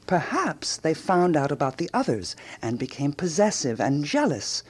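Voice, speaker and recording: A woman acting in a radio drama as a ruminative amateur detective who theorizes aloud with deliberate, rhetorical phrasing and dramatic pauses. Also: low voice, very animated, slightly rough, exaggerated voice. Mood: provocative musing.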